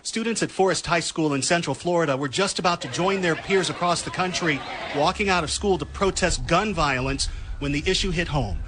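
A man's voice: on the high side, brisk, heavy background noise. An American man narrating a scripted report as a radio news correspondent.

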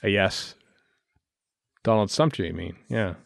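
A man's voice: fairly low pitch, measured pace, creaky, no echo. Casual Canadian man, close dry sound. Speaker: podcast co-host. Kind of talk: casual fan commentary chat.

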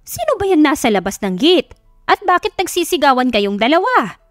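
A nosy, excitable middle-aged mother in an audio drama as a female narrator plays her. Her voice is high and suspicious. Music plays behind, with a roomy echo. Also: a little fast, clean studio sound. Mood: loud, suspicious.

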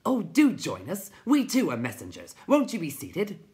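A woman's voice: lowish voice, huge pitch swings, normal speed, darkish tone, voice pushed up. A woman voicing a tiny, dapper male storybook creature who chirps and twitters with formal courtesy. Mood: courteous.

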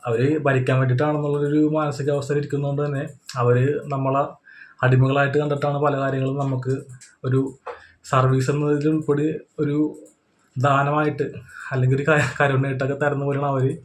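A man's voice: mid-range voice, little pitch movement, brisk, long pauses, slight background noise. A man giving unscripted opinion commentary in a repetitive, explanatory way, often checking in with the listener. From India. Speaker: YouTube reaction commentator.

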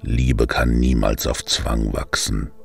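A man voicing a grieving and wrathful male god of the underworld, slow, very deep and gravelly, heard from a distance. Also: little pitch movement, full character voice. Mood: mournful. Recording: distant voice over faint music.

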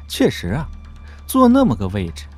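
An actor playing an informal, expressive young prince who leans on his adviser, talking in a familiar, colloquial way in a wuxia audio drama. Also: fairly high pitch, slow pace, breathy edge, soft background music, full character voice. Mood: wry, amused.

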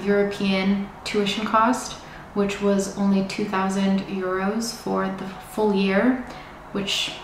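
A young American woman talking casually to camera, explaining things in a chatty, informative way with some fillers, in a bright room indoors. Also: medium pitch, creaky. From United States. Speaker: vlogger, psychedelic research student. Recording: very echoey room, faint hiss.

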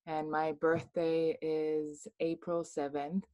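Lady on a video call, talks real slow, lowish voice, picks her words. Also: little pitch movement, warm tone. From Munich, Germany. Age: 30s. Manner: slow, thoughtful, searching for words. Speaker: participant. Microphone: laptop webcam on video call.

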